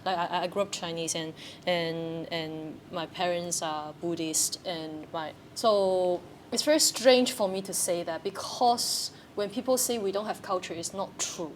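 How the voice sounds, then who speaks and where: A woman's voice: on the low side, measured pace, hardly any pauses. Woman musing aloud. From Hong Kong. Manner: searching, questioning, repeats phrases. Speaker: theatre practitioner. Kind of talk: reflective conversation. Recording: faint background noise.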